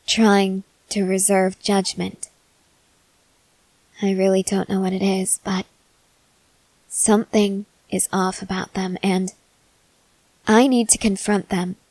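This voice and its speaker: A woman playing a stoic, confident young woman soldier in an ASMR roleplay, speaking in a measured, serious, guarded way with hesitant pauses. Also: medium pitch, level tone, a little mellow, no echo, poor audio. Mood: worried, grave. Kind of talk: ASMR roleplay, acted scene to a listener.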